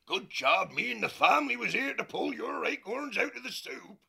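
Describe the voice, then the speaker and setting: A man voicing a huge, fat, boisterous male otter who bellows in a rough rustic dialect. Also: very high voice, big pitch swings, moderate pace, bright, forceful tone, pitched above the performer's own voice, heavily acted. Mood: teasing. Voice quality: gravelly, hearty bellowing.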